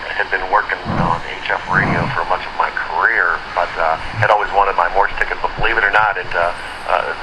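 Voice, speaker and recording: A man in his forties talking casually over amateur radio in a halting, rambling way. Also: low-pitched. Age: 40s. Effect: amateur radio transmission. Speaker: Navy electronics technician and newly licensed radio amateur. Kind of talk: casual ham radio conversation. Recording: very noisy radio link with loud roar.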